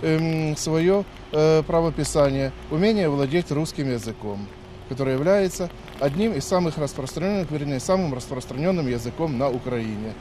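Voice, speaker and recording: A man's voice: a little high, animated, normal speed, darkish tone. Argumentative man in his fifties. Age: 50s. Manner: argumentative, lecturing with many hesitations. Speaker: dictation participant. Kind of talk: street interview answers. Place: outdoors on a city street. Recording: faint street noise.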